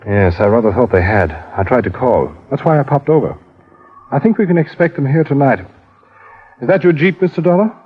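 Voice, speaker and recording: An actor playing a breezy British estate manager of about thirty in an old-time radio drama, jaunty and understated. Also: male voice, medium pitch, animated, a little fast, slightly rough. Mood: brisk, unruffled. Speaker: supporting actor. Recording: muffled vintage radio.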